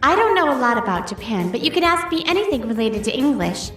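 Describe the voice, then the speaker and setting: A woman plays a young female teacher from a manga romance. Her voice is high and very animated, formal and strict with a flustered streak underneath. Music plays behind her. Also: fast. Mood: strict, composed. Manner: formal, proper. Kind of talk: manga story voice acting.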